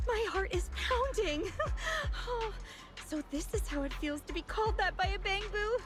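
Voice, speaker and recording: An actress playing an excitable young woman who gushes and swoons over robots. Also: extremely high pitch, moderate pace, music in the background. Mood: swooning delight.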